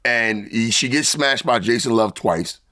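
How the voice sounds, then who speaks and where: A man's voice: mid-range voice, unhurried, sharp tone. Blunt, teasing man in his forties. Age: 40s. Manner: blunt, teasing, says "bro" and swears casually. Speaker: interview host. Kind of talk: casual interview chat.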